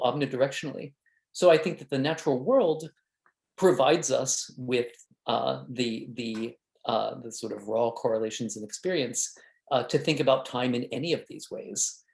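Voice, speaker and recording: A man's voice: mid-range voice, a little mellow. Guy fielding questions after his talk, lots of stops and restarts, roomy echo. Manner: halting, many restarts and fillers. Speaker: invited speaker.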